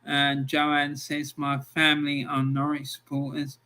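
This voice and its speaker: A man's voice: a little high, unhurried. A middle-aged man hosting a football live stream, chatting casually, reading out scores and viewer comments with plenty of ums. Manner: relaxed and chatty, with many ums.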